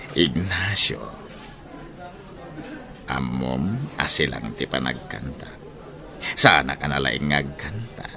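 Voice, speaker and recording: A man playing a grim, menacing older general in an Ilocano radio drama, speaking slowly and theatrically in a deep, gravelly voice. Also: expressive, crowd noise, exaggerated voice. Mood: grim, measured. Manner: slow, deliberate, theatrical.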